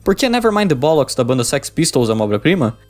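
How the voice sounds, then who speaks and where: A young man with a Brazilian Portuguese accent delivers informal scripted narration at a quick clip, in a slightly high voice. He is irreverent and swears freely, with music playing underneath. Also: sing-song. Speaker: video essayist reviewing games.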